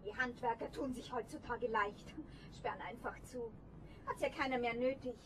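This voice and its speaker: A woman's voice: a little high, fairly even intonation, moderate pace, fairly bright tone, natural voice, low-quality recording. An actress playing a sly travelling saleswoman in a radio play, muttering to herself. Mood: businesslike, calling out. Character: sly female travelling saleswoman, light-fingered and self-justifying. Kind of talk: 1970s radio play acting. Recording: 1970s radio play, faint background noise.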